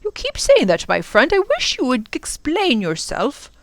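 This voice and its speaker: A woman's voice: sits high, wildly swooping pitch, a little slow, no echo, pitched above the performer's own voice, full character voice. A woman voicing a kindly, fussy older male professor in a storybook, explaining things with donnish exclamations. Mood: puzzled.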